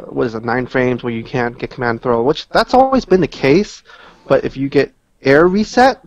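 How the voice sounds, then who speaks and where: Man, medium pitch, casual gaming analysis, explanatory, unscripted, dry recording. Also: no echo, dull audio. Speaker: guest player giving game analysis. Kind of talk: casual unscripted game discussion.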